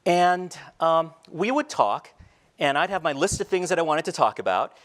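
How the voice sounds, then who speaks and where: A middle-aged teacher telling personal stories in a talk on a large indoor stage, shifting between quiet reflective moments and light humor. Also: male voice, a little high, very animated, moderate pace. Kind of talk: storytelling keynote talk. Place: large stage before a seated audience, indoors. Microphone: headset mic.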